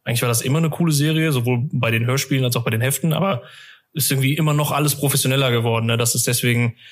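A man with a mid-range voice hosts a casual fan podcast. He speaks colloquially, often hesitating and reworking his phrasing as he thinks out loud. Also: medium pitch, steady tone, racing.

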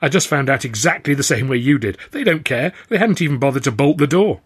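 Man acting a jaunty, cheeky young Englishman, slightly husky. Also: medium pitch, expressive, fast, no echo, studio quality, voice pushed up. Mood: gleeful, excited. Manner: chummy, jaunty, old-fashioned slangy patter. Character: cheerful, mischievous young Englishman, cheeky pal.

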